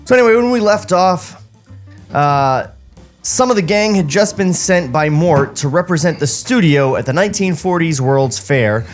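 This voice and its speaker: A man's voice: on the high side, lively intonation, normal speed. Sardonic man podcasting over background music. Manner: mocking, sardonic. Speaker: podcast co-host reading a script aloud. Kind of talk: casual comic banter and script table read. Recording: music playing behind the voice.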